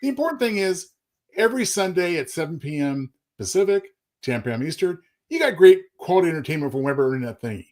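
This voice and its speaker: A man co-hosting a casual talk show, explaining plans in a measured, conversational way. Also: a little high, expressive, darkish tone. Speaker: co-host. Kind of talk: casual show announcements and banter.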